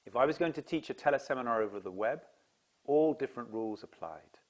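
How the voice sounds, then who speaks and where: A man's voice: mid-range voice, animated, fast. A man who leads seminars, explaining to camera in an earnest, enthusiastic way. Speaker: seminar leader.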